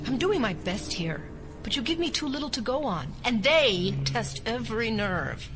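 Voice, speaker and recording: A strained female bureau official in an audio drama. Her voice moves widely in pitch as she defends herself, over a prominent score. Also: big pitch swings, normal speed, music in the background. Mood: defensive. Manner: pleading, defensive.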